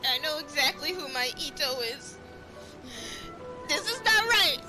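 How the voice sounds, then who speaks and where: A woman's voice: high-pitched, lively intonation, unhurried, rough recording. A woman streaming a video game with casual, playfully dramatic reactions. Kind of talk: casual reactive gameplay commentary. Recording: game music under the voice.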